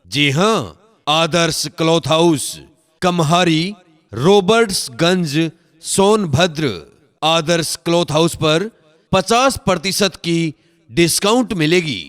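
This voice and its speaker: A man reading a scripted promotional shop announcement in short, emphatic, measured phrases. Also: fairly high pitch, fairly bright tone. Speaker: advertisement announcer. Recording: long hall-like reverb on voice.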